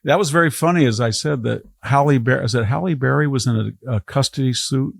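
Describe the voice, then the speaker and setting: Gravelly older man telling rambling anecdotes. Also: mid-range voice, fast, no echo, studio quality. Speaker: historian guest. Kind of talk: casual podcast conversation.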